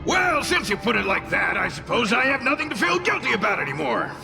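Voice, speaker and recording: A man's voice: extremely high pitch, very animated, a little fast, creaky, full character voice. An actor playing a brash, mischievous male fox-tail spirit in a video game, boastful and protective, with a theatrical, sardonic, mocking manner. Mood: gruff, protective. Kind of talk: animated video game voice acting. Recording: voice over loud game music. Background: game music.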